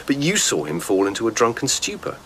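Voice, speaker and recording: An actor playing a probing lieutenant investigating a death in a radio drama, speaking directly and pressing with questions. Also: male voice, medium pitch, huge pitch swings, fairly quick, fairly bright tone, slight background noise. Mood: probing, serious.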